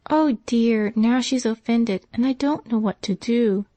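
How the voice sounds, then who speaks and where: Figure: eager young girl, voiced by a woman. Pitch: raised. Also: warm tone, dry recording, close to an everyday voice. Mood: anxious. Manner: talks very fast and eagerly.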